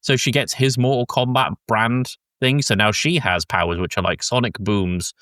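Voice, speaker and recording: A man hosting a podcast, casually recapping a film plot in a rambling, halting way with frequent swearing. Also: medium pitch, fairly bright tone, no room sound, clean studio sound. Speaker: podcast host.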